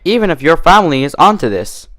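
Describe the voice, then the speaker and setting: A man voicing an earnest, bookish young female cartoon pony in a brisk, eager way. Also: a little high, sing-song, a bit bright, voice pushed up. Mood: insistent.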